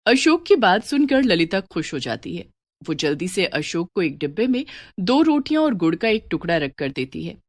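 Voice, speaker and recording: A woman's voice: on the low side, big pitch swings, normal speed, dry recording. A woman narrating a scripted story as its storyteller.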